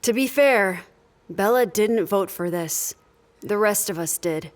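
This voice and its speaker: A woman's voice: mid-range voice, unhurried, close to an everyday voice. A stern female crew leader in a sci-fi audio drama, acted by a woman. She talks in a firm, commanding way and gets straight to the point, with a mid-range voice. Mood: decisive.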